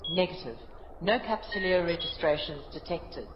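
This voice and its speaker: An efficient female starship officer, acted by a woman, slightly low in pitch. She delivers clipped system reports in a sci-fi audio drama, in dull sound with strong echo. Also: expressive, normal speed, lightly acted. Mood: businesslike. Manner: clipped procedural announcements. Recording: dull, echoey, noisy ship bridge.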